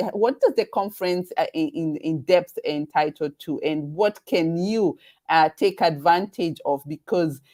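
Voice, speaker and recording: A woman with a Kenyan accent hosting a live webinar stream, talking in a halting way with many restarts and repeated words. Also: fairly low pitch, darkish tone. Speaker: webinar host.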